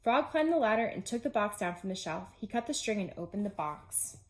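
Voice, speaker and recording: A woman's voice: mid-range voice, sing-song, fairly quick. A woman teacher narrating a children's storybook aloud, with simple phrasing aimed at young children. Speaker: pre-K teacher reading aloud.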